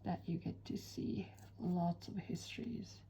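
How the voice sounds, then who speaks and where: A woman from western Japan with a Japanese accent, chatting in an unhurried, soft-spoken way with frequent pauses while she draws. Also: fairly low pitch, gravelly, in a whisper. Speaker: art instructor. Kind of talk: relaxed chat while drawing.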